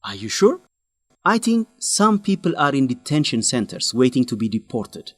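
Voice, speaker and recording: An actor playing an earnest, principled young man who urges his friend in a radio drama, with an animated, scolding manner. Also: medium pitch, moderate pace, no echo. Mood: pleading, scolding. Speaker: friend and fellow researcher.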